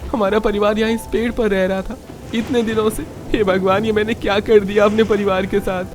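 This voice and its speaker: A man voicing a weak-willed young husband in a cartoon, pleading in a high strained voice. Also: little pitch movement, a little fast, noisy background, close to an everyday voice. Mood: desperate. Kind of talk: Hindi cartoon voice acting.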